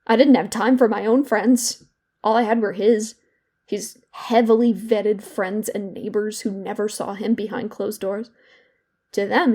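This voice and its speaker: A woman acting as a regretful young woman with a fairly high, mellow voice. She confides haltingly, as if in therapy, wounded and intimate, in the manner of an audio play monologue. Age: young adult. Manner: hesitant, halting, with repeated words and pauses.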